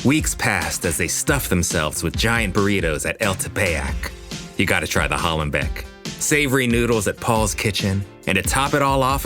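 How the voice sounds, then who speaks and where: An actor playing the storytelling narrator of a scripted romantic audio drama. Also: male voice, fairly low pitch, close to an everyday voice.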